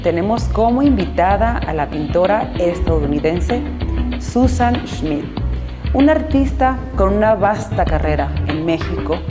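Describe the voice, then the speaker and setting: A woman's voice: mid-range voice, lively intonation, a little slow. A woman hosting an interview, introducing her guest and asking polite questions. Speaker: interviewer host. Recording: music behind.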